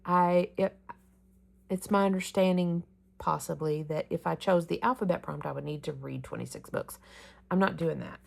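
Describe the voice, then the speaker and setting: Woman vlogger, lowish gravelly voice, rambling casual chat, thinks out loud. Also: moderate pace. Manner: rambling, thinking aloud with many restarts. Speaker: reading challenge vlogger. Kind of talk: casual planning chat about a reading challenge.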